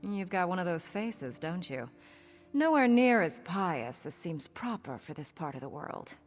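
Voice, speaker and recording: A woman's voice: on the low side, very animated, measured pace, in a large hall. An actress playing a scheming, cynical pirate woman in a video game, with a sardonic, conspiratorial manner. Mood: sly, cynical. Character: scheming roguish female pirate, sly and cynical. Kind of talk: video game character dialogue.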